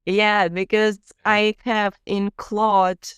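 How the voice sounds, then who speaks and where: A woman's voice: mid-range voice, level tone, very slow, clean tone, dry recording, studio quality. A woman with a Ukrainian accent, a non-native English speaker hosting a podcast interview, explaining tips earnestly and laughing easily. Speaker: podcast host.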